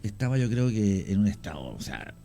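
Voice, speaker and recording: A middle-aged man with a Chilean accent, a guest on a radio show, telling stories slowly with many pauses and restarts. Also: fairly low pitch, very animated, dark tone. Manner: unhurried, many fillers and restarts, anecdotal. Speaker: guest storyteller. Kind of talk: radio conversation, recounting cases.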